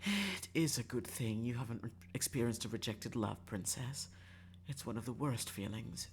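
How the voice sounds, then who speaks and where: A man's voice: mid-range voice, animated, normal speed, slight background noise, lightly acted. A man putting on a coy, flirtatious dandy. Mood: gentle, apologetic.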